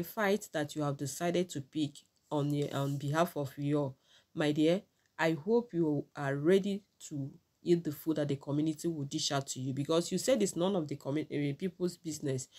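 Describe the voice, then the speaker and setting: A woman's voice: deep voice, mellow. A middle-aged woman with a Nigerian accent, giving opinionated, admonishing commentary straight to her viewers. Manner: admonishing, proverb-quoting. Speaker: vlogger commentator. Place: small indoor room with curtains.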